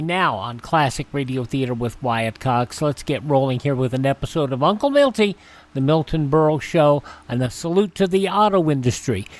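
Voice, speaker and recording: A man hosting a radio show, introducing programs in a casual, conversational way with folksy asides. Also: mid-range voice.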